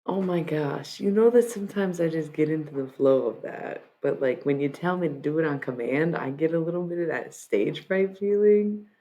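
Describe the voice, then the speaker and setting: A woman's voice: low voice, normal speed, few pauses, mellow. A young woman from Michigan chatting casually on a live stream, joking about herself and breaking into rap lines. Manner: joking, self-mocking, freestyles rap lines.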